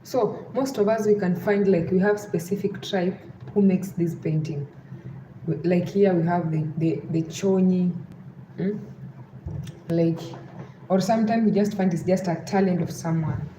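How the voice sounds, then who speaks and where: A woman's voice: lowish voice, fairly even intonation, a little slow, dark tone. A young woman from Kenya with a Western Kenyan accent, hosting a casual livestream and repeating pleas to like and subscribe. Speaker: livestream host. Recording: echoey room with background noise.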